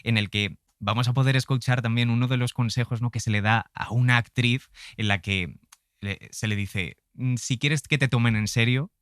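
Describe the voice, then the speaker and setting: A man's voice: medium pitch, fairly even intonation, moderate pace, a bit bright, no room sound, studio quality. A man from in a reflective, conversational podcast discussion, often adding tag questions.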